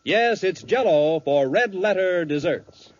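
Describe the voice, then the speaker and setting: A man's voice: high voice, lively intonation, slow. A man announcing in polished, scripted 1940s radio style, with a salesman's delivery. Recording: dull old radio recording. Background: loud music.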